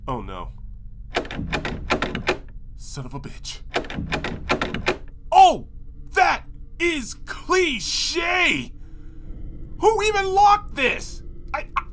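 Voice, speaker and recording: Guy doing a whiny sarcastic cartoon pony dude, reluctant hero, pitch all over the place, bit of noise behind. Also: exaggerated voice. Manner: sarcastic, whiny, exasperated, stammering protests. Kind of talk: comedic audio drama acting.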